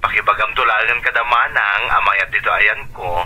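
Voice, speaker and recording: A man's voice: lowish voice, fairly even intonation, brisk, bright, forceful tone, rough recording. A man playing a cheerful, reassuring uncle calling from abroad in an Ilocano radio drama, through a telephone filter.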